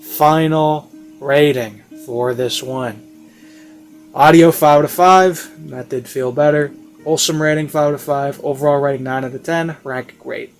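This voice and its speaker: Halting man rambling through a casual review. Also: a little high, fairly even intonation. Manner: halting, hesitant, trails off and rephrases often. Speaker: online reviewer. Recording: classical music behind the voice. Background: classical background music.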